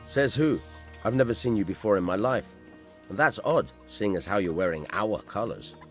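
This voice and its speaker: A man's voice: fairly low pitch, expressive, moderate pace, faint music behind, close to an everyday voice. An actor playing a suspicious but easily swayed male castle guard in a game cutscene, blunt and grudging. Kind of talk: video game cutscene acting.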